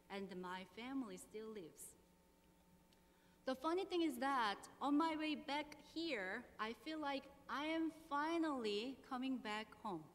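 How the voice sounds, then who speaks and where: A woman pastor in her thirties from South Korea, speaking English with a Korean accent, leading prayer, reading scripture and preaching in a measured, earnest way. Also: fairly high pitch. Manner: measured, earnest, slow deliberate phrasing. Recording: long hall reverb, faint hum.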